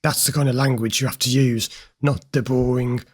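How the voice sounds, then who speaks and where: Husky-voiced man explaining therapy ideas. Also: mid-range voice, fairly even intonation, normal speed, a little mellow. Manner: direct, explanatory, mildly amused. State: slightly hoarse from recording many videos. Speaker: host, therapist analysing a recorded session. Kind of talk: commentary over an interview clip.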